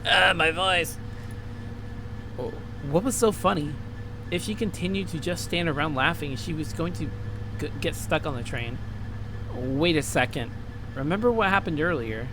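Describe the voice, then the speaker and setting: A man's voice: on the high side, normal speed, long pauses, background noise. A man doing a let's play, reading a visual novel aloud, acting out the dialogue with casual commentary and stumbling over words, with music behind him.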